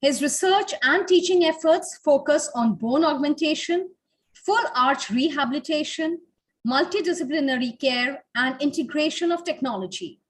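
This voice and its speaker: A woman's voice: high-pitched, lively intonation, normal speed. A woman hosting a webinar, reading a scripted introduction in a formal, ceremonious manner. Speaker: webinar host.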